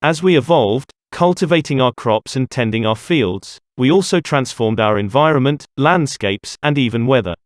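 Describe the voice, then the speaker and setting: A man's voice: medium pitch, expressive, normal speed, hardly any pauses, dry recording. Man reading scripted passages, dry sound. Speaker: narrator of exam practice passages. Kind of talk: scripted read-aloud narration.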